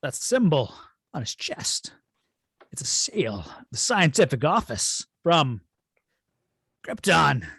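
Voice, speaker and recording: A cold alien overlord, played by a man at a table read, speaking slowly in a very husky voice. He gives orders imperiously, with pitch that swings widely. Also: very animated, fairly bright tone, dry recording, heavily acted. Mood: calculating. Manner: deliberate, imperious. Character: cold commanding alien villain aboard a skull spaceship. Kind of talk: amateur table read of a film script.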